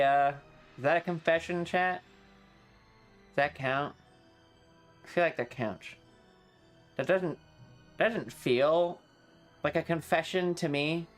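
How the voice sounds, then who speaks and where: Rambling male streamer with music behind. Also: mid-range voice. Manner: rambling, halting, swears freely. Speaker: livestreamer. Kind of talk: casual rambling stream chat.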